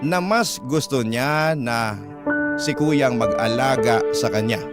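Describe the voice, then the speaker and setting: A man with a Filipino accent reads a dramatic first-person letter as a radio drama narrator, in a measured, solemn way. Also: medium pitch. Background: loud piano music.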